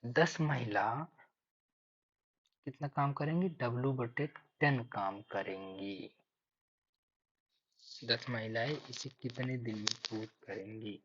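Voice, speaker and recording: A man's voice: mid-range voice, slow, pauses often, a bit bright, slight background noise. A man teaching maths step by step for exam preparation, thinking aloud and repeating key figures. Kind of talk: step-by-step lesson explanation. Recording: dull lo-fi microphone sound.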